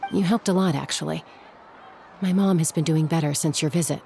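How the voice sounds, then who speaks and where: Gravelly reserved young woman, quiet grief, hesitant, faint ambience noise behind. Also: sits low, brisk. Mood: solemn, determined. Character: subdued, dutiful young woman carrying grief. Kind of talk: scripted video game voice acting.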